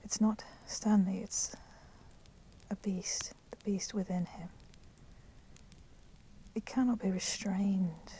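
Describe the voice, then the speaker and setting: A woman playing a polite but menacing vampire in a whispered ASMR roleplay, speaking softly and formally. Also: medium pitch, fairly even intonation, slight background noise, natural voice. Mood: earnest, reproachful. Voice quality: breathy, soft, often whispering. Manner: slow, deliberate, formally courteous. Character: polite, menacing female vampire, proud and merciful.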